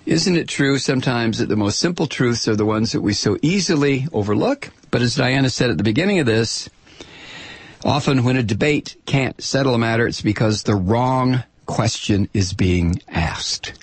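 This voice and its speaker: Gravelly American man, wildly animated talk host. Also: normal speed, no echo. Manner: sarcastic, indignant, irreverent; dramatic emphasis, swinging from low asides to shouts. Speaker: political talk show host. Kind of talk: opinionated radio monologue, reading and commenting on listener mail and news.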